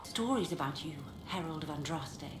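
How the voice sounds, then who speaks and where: Low, resolute enchantress. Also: female voice, lively intonation, moderate pace. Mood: proud, resolute. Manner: formal, resolute. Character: dignified, resolute female mage enchanter, principled and proud. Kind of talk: video game dialogue acting. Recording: echoey, noisy background.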